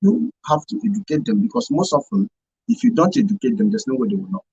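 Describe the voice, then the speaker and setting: A Nigerian man explains his points emphatically in a radio discussion. His voice is creaky and husky, with a very lively pitch that rises and falls. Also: fast, no echo, dull audio. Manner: emphatic, repeats himself. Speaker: radio show panelist. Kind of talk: explanatory talk show discussion.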